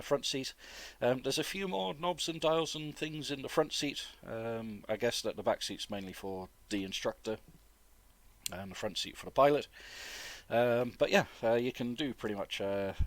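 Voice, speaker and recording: A man, chesty with a cold, giving relaxed, informal and rambling flight simulator commentary as a video host. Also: medium pitch, normal speed, no echo. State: getting over flu, chesty cough. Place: dry close-miked voice-over indoors.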